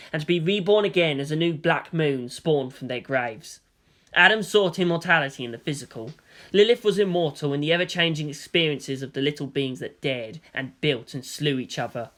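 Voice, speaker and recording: Bright, forceful, solemn story narrator. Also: medium pitch. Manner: solemn, portentous, deliberate phrasing. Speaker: fan fiction narrator. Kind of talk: dramatic scripted story narration.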